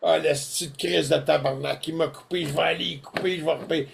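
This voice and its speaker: An older man with a Québécois French accent talks in a folksy, animated way, full of colloquial asides. His voice sits a bit high for a man. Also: fairly quick, gravelly, slight background noise. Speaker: co-host presenting products. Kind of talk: casual podcast chat.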